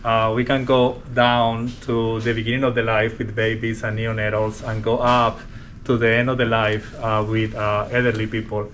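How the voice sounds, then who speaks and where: A man's voice: on the low side, level tone, normal speed, a bit bright, a little hiss or hum. A man from Colombia with a strong Colombian accent, a respiratory therapist talking about his job in a casual, hesitant way with many fillers. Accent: Colombian Spanish. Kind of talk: casual workplace interview.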